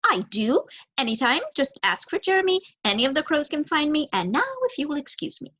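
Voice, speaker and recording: A woman voicing a young, eager, helpful male crow who is polite, chatty and not very bright, in a storybook reading. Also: sits high, very animated, brisk, lo-fi sound, pitched above the performer's own voice.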